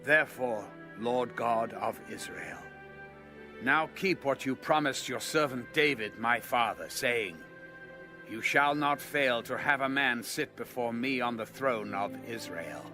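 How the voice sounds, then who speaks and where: A man giving a solemn, measured and dramatised reading of scripture with music behind him. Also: mid-range voice. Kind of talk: dramatised audio Bible reading. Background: music bed.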